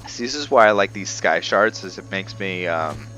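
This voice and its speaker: A man giving casual, somewhat halting commentary over gameplay as the host of a gaming video. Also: low-pitched, animated, bright, forceful tone, soft background music.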